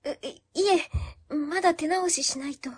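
A woman playing a timid, apologetic office worker with a small, soft, hesitant voice in a radio drama. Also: extremely high pitch, a little slow. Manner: hesitant, meek. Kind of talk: web radio comedy drama acting.

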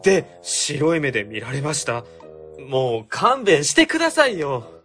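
A man's voice: fairly high pitch, very animated, measured pace, fairly bright tone, soft background music. A man playing an earnest, exasperated young civil servant in a radio comedy drama, speaking politely. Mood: exasperated, pleading.

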